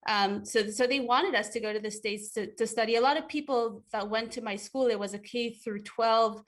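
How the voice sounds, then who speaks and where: A woman's voice: a little high, moderate pace. A woman from Ecuador, a guest in an interview, answering thoughtfully with frequent fillers and restarts.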